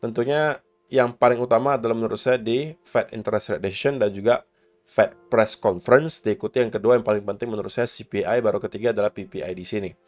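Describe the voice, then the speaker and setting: A man with a hoarse, coughing voice, casually walking viewers through upcoming market data and events, mixing in English market terms. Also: fairly low pitch, steady tone, fast talker, a little mellow. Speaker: crypto market commentator.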